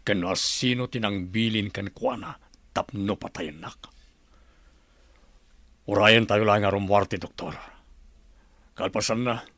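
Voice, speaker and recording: An actor with an Ilocano accent playing a stern, authoritative male governor in a radio drama, speaking gravely. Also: lowish voice, animated, measured pace, pauses often, a bit bright, no echo. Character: stern male governor who survived an assassination attempt.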